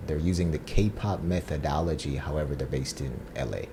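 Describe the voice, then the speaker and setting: Deep-voiced man reacting casually. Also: moderate pace, background noise. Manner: reads captions aloud, relaxed and hesitant. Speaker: reaction video co-host. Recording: music playing loudly underneath.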